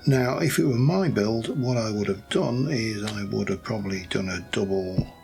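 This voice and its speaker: Croaky low-voiced man narrating gameplay. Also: normal speed, a little mellow, faint music behind. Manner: rambling, thinking aloud while building. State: hoarse, voice going croaky. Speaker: let's play host. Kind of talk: casual gameplay commentary.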